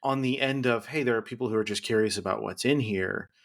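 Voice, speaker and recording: A man hosting a podcast in casual conversation, rambling through his points and quick to tease his guest with jokes. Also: lowish voice, vocal fry. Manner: rambling, teasing, quick to joke. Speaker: podcast host.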